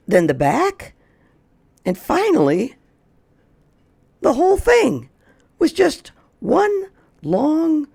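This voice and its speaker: A storyteller reading a children's picture book aloud in an expressive way, drawing out words for emphasis. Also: mid-range voice, vocal fry. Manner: expressive, slow, drawn-out emphasis. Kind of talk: animated children's read-aloud.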